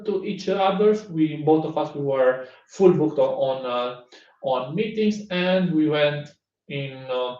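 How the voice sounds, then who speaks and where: Man talking in a roomy, distant room. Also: on the high side. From Romania. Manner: conversational, lively, many restarts and fillers. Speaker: conference speaker. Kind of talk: online tech talk with anecdote. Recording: roomy, distant, dull sound.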